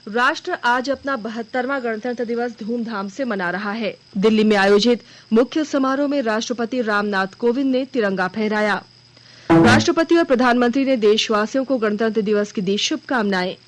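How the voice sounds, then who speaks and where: High-pitched woman reading radio news. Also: moderate pace. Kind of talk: formal scripted regional news bulletin. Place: radio studio.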